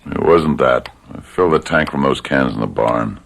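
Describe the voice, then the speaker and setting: An actor playing a weary, sickly, timid husband worn down by work, halting and gravelly, in an old radio mystery drama. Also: male voice, extremely low pitch, expressive, full character voice. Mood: timid, pained. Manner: hesitant, halting, defeated. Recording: noisy old radio recording.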